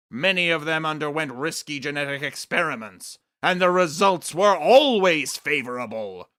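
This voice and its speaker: A man's voice: medium pitch, sharp tone, clean tone. A man voicing a pompous, sinister aristocratic snake-man, grandiose and melodramatic, in a theatrical comedic monologue. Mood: grandiose, fervent.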